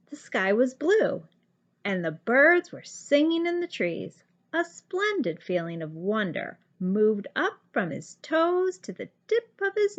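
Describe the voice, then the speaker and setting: A woman reading a children's storybook aloud like a teacher, with deliberate, expressive narration. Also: on the high side, highs cut off. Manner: deliberate, animated.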